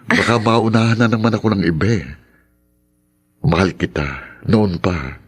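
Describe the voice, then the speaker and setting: A man's voice: lowish voice, moderate pace, breathy edge. An actor in a Tagalog radio drama playing a devoted, persistent suitor, sincere and teasing as he woos. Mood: teasing, earnest.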